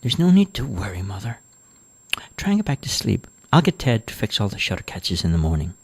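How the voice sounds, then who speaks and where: A man's voice: lowish voice, very animated, a little fast, a little mellow, slightly rough, exaggerated voice. A man voicing a patient, weary grown daughter in a storybook reading, soothing and exasperated by turns.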